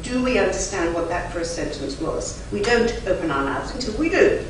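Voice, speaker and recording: A woman whose first language is German, a conference interpreter giving an emphatic, chatty lecture to a live audience with lively asides. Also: mid-range voice, normal speed. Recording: very echoey hall, from far off, faint noise.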